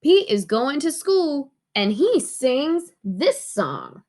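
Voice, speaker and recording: A woman reading a children's storybook aloud in an expressive way, asking her young listeners questions. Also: high voice, slow. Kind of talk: children's storybook read-aloud with sing-along.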